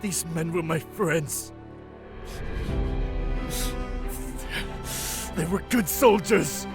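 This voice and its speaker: A man gives voice to a proud young fish-folk prince with a high, eager tone. Cheerful and boastful by nature, he tells his tales with zeal in this fantasy audio drama. A soft music bed plays beneath him. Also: measured pace. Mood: grieving. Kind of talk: fan audio drama acting.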